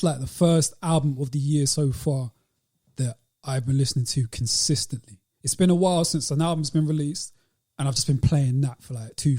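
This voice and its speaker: A man with a British accent talks in a relaxed, mellow voice. He co-hosts a podcast and keeps it casual and slangy. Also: mid-range voice. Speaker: podcast co-host.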